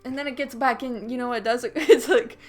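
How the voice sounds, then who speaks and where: A woman's voice: a little high, steady tone, a little fast, faint music behind. A woman co-hosting a podcast in casual banter, with a blunt, argumentative way of talking. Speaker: podcast co-host.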